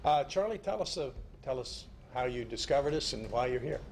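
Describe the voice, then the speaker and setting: A man's voice: mid-range voice, lively intonation, fairly quick, a little mellow. A man informally hosting a club meeting, calling on guests and explaining what comes next. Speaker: meeting host. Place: indoor club meeting room. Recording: noisy live meeting recording.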